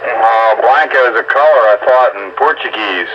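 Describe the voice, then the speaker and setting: A man's voice: medium pitch, normal speed. A man bantering casually over two-way radio. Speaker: amateur radio operator. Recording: muffled, noisy two-way radio transmission.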